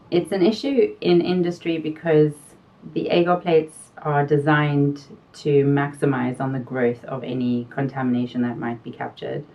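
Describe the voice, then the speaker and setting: A middle-aged woman with a South African accent, explaining technical quality topics in a measured way, pausing now and then with fillers. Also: low voice. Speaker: quality consultant guest. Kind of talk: explanatory interview answers. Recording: distant mic, dull sound.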